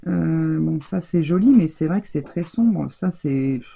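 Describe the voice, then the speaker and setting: A woman from France shows off her latest craft finds in an easygoing, rambling chat full of fillers. Her voice has a gravelly, husky edge with a mellow, darker tone. She talks at a moderate, everyday pitch. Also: animated, measured pace. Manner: chatty, rambling, many fillers. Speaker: craft vlogger. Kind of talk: casual haul video chat.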